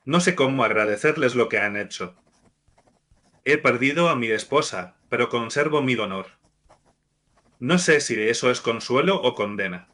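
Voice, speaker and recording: An actor playing a betrayed, honourable Victorian husband, sorrowful, solemn and formal, in an audio drama. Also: male voice, mid-range voice, lively intonation, normal speed, long pauses, pushed and bright. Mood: grateful, sorrowful.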